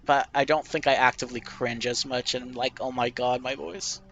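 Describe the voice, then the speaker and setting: Young male gamer, nasal and bright, thinks aloud with rambling, self-deprecating answers. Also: medium pitch, steady tone, moderate pace, a little hiss or hum. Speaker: gamer playing a question game. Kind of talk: casual reflective commentary.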